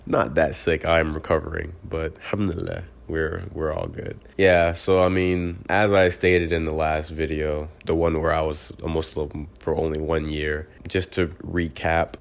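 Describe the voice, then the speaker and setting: A man with a congested, stuffy voice, talking earnestly to camera in a casual personal video reflection, often clipping and restarting words. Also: a bass voice. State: congested from a cold. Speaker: vlogger.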